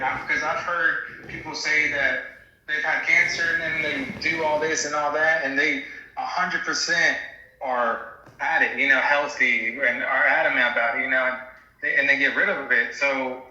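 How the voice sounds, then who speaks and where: A man's voice: fairly high pitch, fairly even intonation, normal speed, sharp tone, very echoey, dull audio, slight background noise. A man hosting a casual phone chat, asking questions in a hesitant, tentative way. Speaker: host interviewing an old acquaintance.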